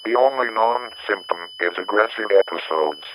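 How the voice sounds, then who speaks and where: A man's voice: lowish voice, normal speed. A man reading a scripted emergency bulletin, formal and impersonal. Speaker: emergency alert announcer. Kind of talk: scripted emergency broadcast announcement. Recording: humming, noisy radio-like signal.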